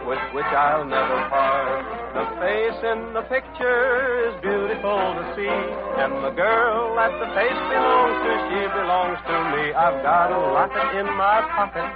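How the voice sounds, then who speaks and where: High-voiced man singing a vintage western tune. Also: unhurried. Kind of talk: singing, 1940s western radio song. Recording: muffled vintage radio with loud music.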